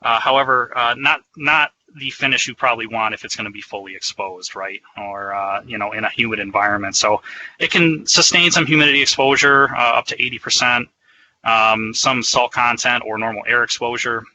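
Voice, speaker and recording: A man's voice: medium pitch, fairly even intonation, a little fast, bright, forceful tone, slight background noise, poor audio. A man giving an explanatory, conversational product presentation in a semi-scripted webinar style.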